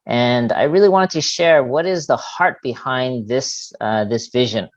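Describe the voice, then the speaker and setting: A middle-aged man from New York, born in Japan, giving earnest, halting opening remarks as a religious leader. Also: mid-range voice. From born in Japan, grew up in New York. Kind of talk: earnest informal speech to a congregation. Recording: dull, lo-fi sound.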